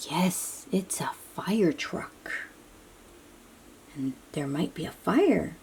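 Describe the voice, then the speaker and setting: A woman reads aloud to little children at a very unhurried pace, with a lot of rise and fall in her delivery. Her voice is soft-edged and mellow, and she explains things in simple phrases, often asking the listener a question and then answering it. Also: lowish voice, animated, a little hiss or hum. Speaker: children's picture book read-aloud host.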